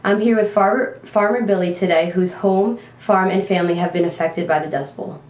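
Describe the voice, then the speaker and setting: A woman's voice: mid-range voice, steady tone, fairly quick, a little mellow. A woman reporter delivering a scripted amateur news report. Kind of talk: scripted amateur mock newscast. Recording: echoey room, distant mic.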